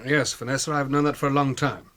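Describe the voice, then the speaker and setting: An actor playing a polite, probing British gentleman detective in a radio drama, clipped, courteous and dry. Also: medium pitch, little pitch movement, fast talker, natural voice.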